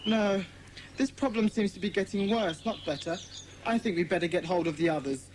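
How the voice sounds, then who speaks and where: A woman's voice: fairly low pitch, a little fast, noisy background, lightly acted. A woman voicing an earnest, well-spoken British schoolboy in a children's audiobook drama. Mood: worried. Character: Peter, earnest schoolboy leader of a children's detective club.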